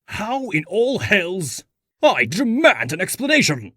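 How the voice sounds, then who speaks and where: Blustery old bigwig for a comic dub, sharp husky voice, super animated, indignant. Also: male voice, sits high, takes it slowly, no echo, studio quality, full character voice. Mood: outraged. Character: pompous, blustering older male authority, indignant.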